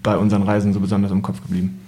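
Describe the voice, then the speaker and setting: A man's voice: on the low side, monotone, racing, warm tone, slight background noise. A young man recalling family travels as a podcast guest in a casual, reflective way.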